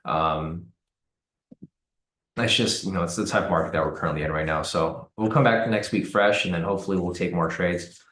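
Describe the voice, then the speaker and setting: A man hosting a live trading stream, hoarse and raspy from the cold, talking casually with many fillers and repeated words. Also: deep voice, brisk, fairly bright tone, echoey room. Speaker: trading livestream host. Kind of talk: casual live-stream commentary answering chat.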